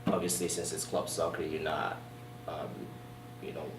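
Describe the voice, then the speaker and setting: Low-voiced man with a mixed accent, roomy gym. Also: fairly even intonation, moderate pace, background noise. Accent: mixed Nigerian and British. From born in Boston, raised in Nigeria. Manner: easygoing storyteller, many fillers and soft laughs. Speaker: gym owner, guest. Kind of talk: casual interview. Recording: roomy distant sound in a gym.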